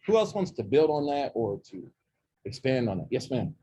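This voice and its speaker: A man's voice: mid-range voice, big pitch swings, moderate pace, warm tone, husky. A man in his forties from Oklahoma with an Oklahoma accent, leading an informal talk with students and asking them questions. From Oklahoma, USA. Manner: chatty, jokey, asks the audience questions. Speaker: imam leading a student session. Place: campus center room with a student group.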